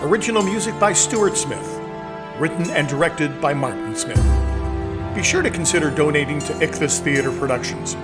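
A male announcer reads scripted credits. His voice sits a little high, and loud music plays behind him. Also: expressive, moderate pace.

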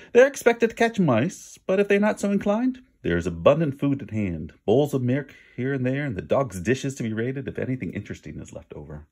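Male storybook reader: very lively intonation, slightly raspy tone, conversational asides to the listener. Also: mid-range voice. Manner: pauses to chat with listeners and explain words. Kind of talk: animated read-aloud storytelling.

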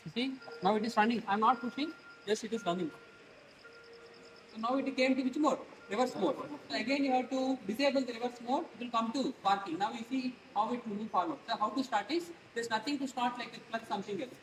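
A man's voice: extremely high pitch, fast, reverberant, faint music behind, poor audio. A man in his thirties with an Indian English accent, demonstrating a scooter to a class and quizzing students with questions as he goes. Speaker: instructor. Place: large open workshop hall with students.

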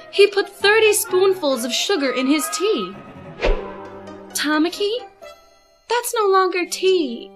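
A woman playing a polite, earnest, ladylike teenage schoolgirl in a fan manga dub. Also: sits high.

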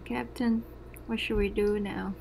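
A woman's voice: medium pitch, fairly even intonation, a little slow, vocal fry, a little mellow, a little hiss or hum. A woman chatting casually as a player in a tabletop game.

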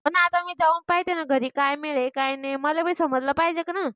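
A woman's voice: sits high, steady tone, fairly quick, a bit bright, no echo, studio quality. A woman voicing a sarcastic, grumbling village mother and daughter-in-law in a cartoon comedy, with a rural Maharashtrian accent. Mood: indignant. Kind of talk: Marathi cartoon comedy dialogue.

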